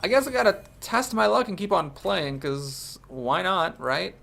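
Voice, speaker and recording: A man's voice: high voice, very animated, measured pace, vocal fry, fairly bright tone. A young man with a congested voice from a cold, thinking aloud as he commentates his own card game. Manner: analytical, thinks aloud about plays. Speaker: game streamer.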